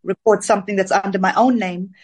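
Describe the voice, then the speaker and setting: A middle-aged woman from Zambia, an interview guest, talking through her career in a relaxed, reflective way with many fillers. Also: mid-range voice, brisk, breathy edge. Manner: warm, reflective storytelling with fillers. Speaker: interview guest, dance singer. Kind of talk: casual interview conversation.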